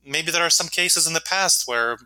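A man's voice: fairly high pitch, moderate pace, pushed and bright, clear, resonant tone, no echo, low-quality recording. A man whose first language is Russian, speaking as an author and podcast guest, explaining his ideas earnestly with frequent fillers. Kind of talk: podcast interview answers.

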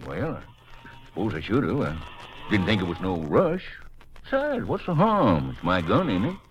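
Defensive rural man, swooping pitch. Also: wildly swooping pitch, normal speed, highs cut off. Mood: uneasy, defensive. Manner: folksy, drawling grammar, protesting. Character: defensive, indignant rural Texan father, murder suspect. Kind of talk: 1950s radio drama acting. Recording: noisy vintage 1950s radio recording.

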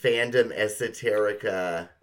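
A woman's voice: very deep voice, unhurried, crowd noise. A middle-aged woman co-hosting a podcast, talking casually and emphatically with a friend, with some swearing. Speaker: podcast co-host. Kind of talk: casual chat between friends.

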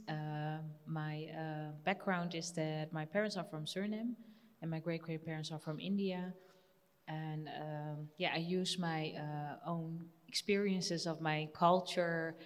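Low, hesitant Dutch-accented woman. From Netherlands. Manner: hesitant, searching for words. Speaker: panel guest, painter. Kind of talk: panel talk. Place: live event hall with audience. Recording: reverberant hall.

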